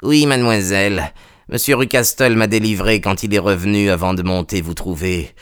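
A man's voice: lowish voice, normal speed, smooth, dry recording, studio quality, natural voice. A man voicing a female servant, frank and deferential, in a plain-spoken way. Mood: frank, obliging.

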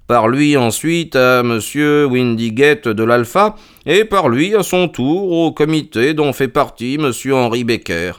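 A male narrator plays a shrewd, self-assured storybook detective. He speaks in a smooth, resonant voice, lifted a little and very articulate. His manner is courteous yet commanding and deliberate. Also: medium pitch, pitched above the performer's own voice, natural voice. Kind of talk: audiobook character voice.

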